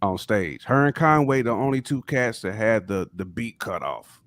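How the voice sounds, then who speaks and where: A man's voice: fairly low pitch, lively intonation, moderate pace, fairly bright tone, no room sound. A man co-hosting a live hip hop podcast, chatting in an easygoing way. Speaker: podcast co-host. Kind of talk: casual hip hop chat on a live stream.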